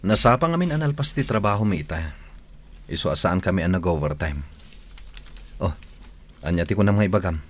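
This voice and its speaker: Casting: low-voiced office employee, protective elder brother, troubled; Ilocano radio drama, Ilocano accent. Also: male voice, lively intonation, fairly quick, long pauses, fairly bright tone, a little hiss or hum. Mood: concerned.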